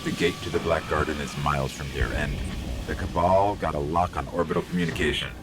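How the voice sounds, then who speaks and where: An actor playing an earnest, wry robot guide, giving matter-of-fact mission briefings in a video game. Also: male voice, extremely low pitch, steady tone, a little fast, very noisy. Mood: wry, practical. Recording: music behind.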